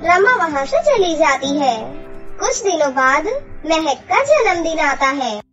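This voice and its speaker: A woman's voice: sits high, sing-song, measured pace, lo-fi sound. A woman reading scripted narration for a children's story. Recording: clear music behind the voice. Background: children's music.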